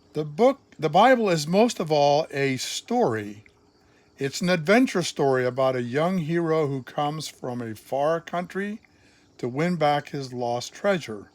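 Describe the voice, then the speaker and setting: An older man, a grandfather, reading a children's Bible storybook aloud in an expressive way, with deliberate phrasing and emphatic pauses. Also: on the high side, dry recording.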